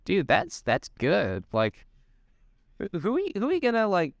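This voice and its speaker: A young man co-hosting a casual podcast, animated and swearing freely, often restarting sentences. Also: high-pitched, unhurried, creaky, no echo, clean studio sound. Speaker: podcast co-host. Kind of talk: casual chat.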